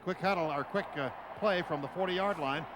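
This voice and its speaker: American man, fairly high voice, radio play-by-play announcer, clipped football calls, clear stadium noise behind. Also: normal speed. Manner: clipped phrases, calling action as it happens.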